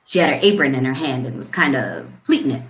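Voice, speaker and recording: A woman's voice: extremely low pitch, big pitch swings, fast. A woman playing a plain-spoken country farmer who gives a rambling, folksy account in a radio play. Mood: folksy, puzzled. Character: plain-spoken rural male farmer recounting a shock. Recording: roomy, echoing sound.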